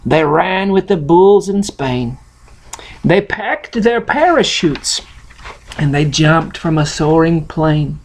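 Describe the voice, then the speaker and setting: Russian-accented man reading slowly, with swooping intonation. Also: on the high side, lively intonation, a little hiss or hum. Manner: non-native grammar, halting, playful delivery. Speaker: host reading a picture book aloud. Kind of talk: storybook reading and casual chat to camera.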